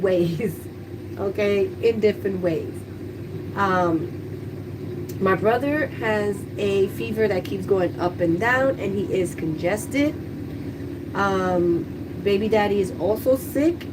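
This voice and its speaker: A woman's voice: mid-range voice, takes it slowly, distant mic, noisy background. Congested woman chatting on a home livestream. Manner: chatty, candid, talks to viewers. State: congested, stuffy nose. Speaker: livestreaming YouTuber. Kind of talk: casual livestream chat. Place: kitchen at home, household noise.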